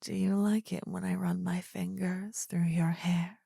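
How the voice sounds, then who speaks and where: An adoring, possessive demon queen, acted by a woman, whispers at close range in a fantasy ASMR roleplay. Her low voice is husky and breathy, with a gravelly creak. She speaks intimately and soothingly in formal phrases, tender with a menacing edge. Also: flat delivery, measured pace, dark tone, dry recording, full character voice. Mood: tender, lovestruck. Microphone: close ASMR microphone, dry.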